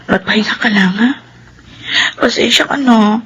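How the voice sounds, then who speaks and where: A woman's voice: fairly high pitch, moderate pace, fairly bright tone, breathy edge, muffled recording. A woman playing a devoted, anxious young mother in a Filipino radio drama, earnest and emotive, with a Filipino accent. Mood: tender.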